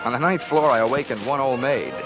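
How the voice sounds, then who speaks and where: A man's voice: mid-range voice, quick pace, lightly acted. A man acting a wry, wisecracking insurance investigator in a 1940s radio drama, clipped and hard-boiled. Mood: wry narrating. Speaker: lead actor and narrator of a radio detective drama. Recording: muffled 1940s radio recording with music.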